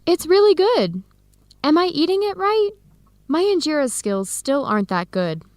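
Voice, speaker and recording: A friendly young American woman researcher in a radio drama. Her voice sits fairly high and swings freely in pitch, with an American accent, recorded dry and close. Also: normal speed, no room sound. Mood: warm, chatty. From United States. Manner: lively, expressive.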